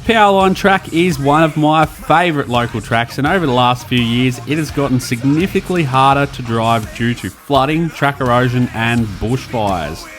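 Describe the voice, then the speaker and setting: A man's voice: medium pitch, normal speed, hardly any pauses, a bit bright. An Australian man leading an off-road trip, chatting casually and laughing easily as he narrates. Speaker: vlogger leading a 4WD trip. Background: background music.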